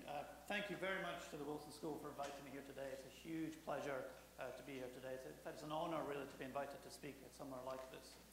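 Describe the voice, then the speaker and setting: A man in his forties giving a public lecture as guest speaker, slightly hoarse from a cold, fluent and quick with frequent restarts. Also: medium pitch. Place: lecture hall. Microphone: podium microphone. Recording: echoey hall with faint hum.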